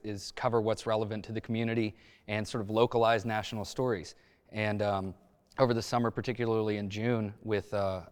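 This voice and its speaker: A man speaking as a journalist on a discussion panel, feeling out his phrases in a halting, measured way. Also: lowish voice, clear, resonant tone. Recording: long echoing reverb.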